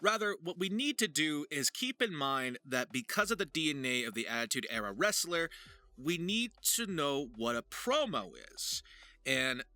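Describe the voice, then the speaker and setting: Animated, very bright-voiced man. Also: a little high, dry recording. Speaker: voice actor hosting a tutorial. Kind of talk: casual tutorial chat.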